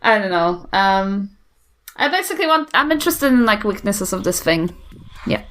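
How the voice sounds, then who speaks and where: A woman's voice: medium pitch, big pitch swings, moderate pace, a bit bright, a little hiss or hum. A woman chatting casually and a little hesitantly as a player in a tabletop game, given to giggling. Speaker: player on a tabletop role-playing podcast.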